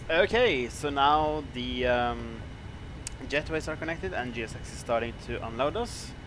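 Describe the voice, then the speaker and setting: A young man with a Norwegian accent casually commenting on his flight-sim gameplay, relaxed and rambling with lots of fillers. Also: medium pitch, unhurried, background noise. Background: music playing underneath.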